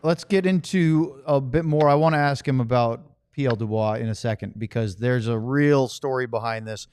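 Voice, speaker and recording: A man's voice: medium pitch, normal speed, a little mellow, smooth, no echo. A man hosting a sports podcast, moving through segues and sponsor reads in a casual conversational style. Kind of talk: casual ad read and show hosting.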